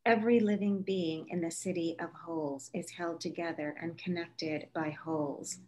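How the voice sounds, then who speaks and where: A woman's voice: lowish voice, steady tone, normal speed, warm tone, low-quality recording. A woman giving a scripted literary reading of lyrical prose poetry. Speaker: author reading aloud.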